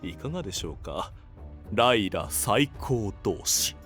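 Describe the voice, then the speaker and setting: A man's voice: lowish voice, big pitch swings, a little slow, fairly bright tone, faint music behind. A man playing a calculating fantasy council official who speaks with polite, insinuating formality. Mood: pressing. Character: smooth-tongued, calculating male council official in fantasy drama. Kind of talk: dramatic scripted voice acting.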